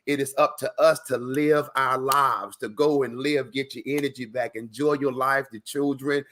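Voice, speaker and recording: A man with a medium-pitched voice works as an interview host. He talks casually and encouragingly, with an earnest manner, and often doubles up words to stress them. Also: steady tone, normal speed, a bit bright, a little hiss or hum.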